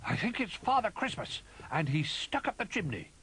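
A man voicing an excitable, helpful toy dog in a children's storybook, speaking in eager barks. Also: high voice, normal speed, voice pushed up, poor audio. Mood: excited. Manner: barked, exclaiming.

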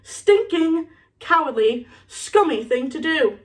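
A woman voicing a gruff, menacing older male professor from a storybook, blunt and forceful, with a growl. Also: animated, normal speed, recorded from a distance. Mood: furious growl. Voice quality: low, gravelly growl.

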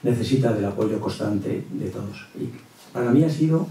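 A man's voice: on the low side, moderate pace, mellow. A middle-aged man from Spain with a Castilian accent, opening a public lecture in a conversational way with frequent tag questions. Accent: Castilian Spanish. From Zaragoza, Spain. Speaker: university professor, guest lecturer. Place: lecture room at a table. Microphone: gooseneck table mic, distant pickup. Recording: echoey hall, distant microphone.

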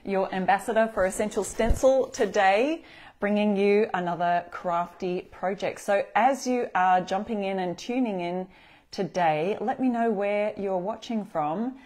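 A woman's voice: mid-range voice, measured pace, a little mellow. Chatty Australian woman hosting a craft live. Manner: chatty, greeting viewers by name. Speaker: craft demo host. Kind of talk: live-streamed craft tutorial. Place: bright indoor room at a craft table.

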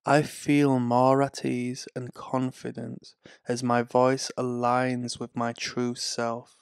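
A man reading guided affirmations in a calm, soothing, scripted way. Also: medium pitch, gravelly, clean tone, dry recording, studio quality. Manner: slow, calm, soothing delivery. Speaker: affirmation narrator.

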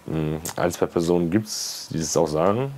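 A man's voice: deep voice, normal speed, a little mellow, soft background music. A man of twenty-one talking casually in a documentary interview, plain-spoken and laid-back. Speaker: documentary participant.

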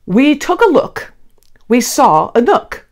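A woman gives a theatrical read-aloud of a children's picture book. Her pitch swings widely from phrase to phrase, and she takes her time, shaping each rhyme deliberately. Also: very animated. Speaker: children's storybook reader. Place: small indoor studio with blue backdrop.

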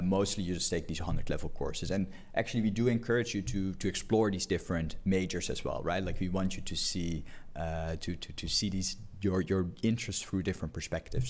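A man on a studio panel explaining how the programme works in a relaxed way, often repeating words as he thinks. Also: low voice. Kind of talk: informal explanation. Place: bright studio set. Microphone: desk microphone.

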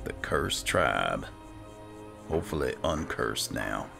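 A man giving dry, unhurried roleplay commentary over a video game, as if talking to himself. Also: extremely low pitch, animated, vocal fry. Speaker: gaming channel host. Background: game music.

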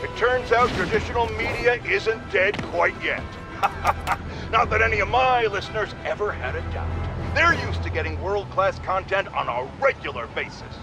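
A man's voice: high voice, animated, moderate pace, music in the background. An actor playing an irascible, self-important male radio pundit in a video game, bombastic and sarcastic as he rants to his listeners. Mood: smug. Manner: bombastic, sarcastic, exaggerated indignation. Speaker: radio talk show host. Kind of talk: opinionated radio rant. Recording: clear background city noise from gameplay.

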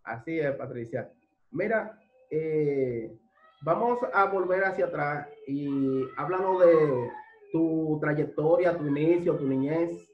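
A man's voice: fairly high pitch, takes it slowly, a little hiss or hum. A middle-aged man hosting an interview over a video call, asking questions with hesitant fillers. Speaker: interviewer host. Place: home room indoors. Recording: dull echoey video call audio.

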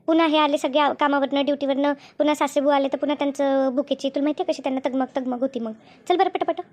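A woman voicing a bossy, sly elder sister-in-law in a cartoon family drama, brisk and repetitive as she instructs. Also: high voice, steady tone, darkish tone, natural voice. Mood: bossy, coaxing. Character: bossy, two-faced elder sister-in-law running the kitchen.

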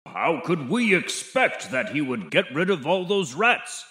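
Man acting a scheming older storybook mayor, pompous, dismissive, very slow, animated intonation. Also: fairly high pitch, exaggerated voice. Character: stingy, scheming older male town mayor.

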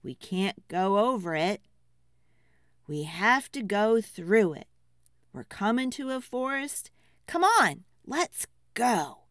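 A woman's voice: medium pitch, very animated, takes it slowly, fairly bright tone, dry recording, clean studio sound. A woman storyteller giving a dramatic, rhythmic picture-book read-aloud for children, theatrical and urging listeners to join in.

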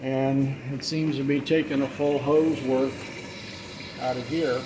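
A man working as a plumber talks through a clogged drain job in a level, unhurried voice that hardly rises or falls. He pauses on fillers as he explains. The noise of running water and machinery fills the basement around him. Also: medium pitch. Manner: relaxed, explanatory, trails off with fillers. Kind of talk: casual how-to commentary while working. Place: basement utility area, indoors.

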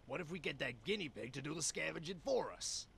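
A man's voice: a little high, expressive, brisk, vocal fry, a bit bright. A man voicing a scheming, cheerful small animal salesman with persuasive patter in a cartoon adventure game. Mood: scheming, upbeat.

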